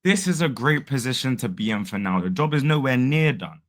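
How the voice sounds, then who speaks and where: A young man whose voice is hoarse and fading hosts a casual football reaction vlog. He talks in a chatty, upbeat way and uses plenty of fillers. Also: mid-range voice, expressive, fairly quick. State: losing his voice. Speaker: football fan channel host.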